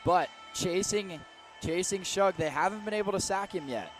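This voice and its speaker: Highish-voiced male football color commentator. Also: moderate pace, soft background music. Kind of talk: live football radio analysis. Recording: faint stadium noise behind.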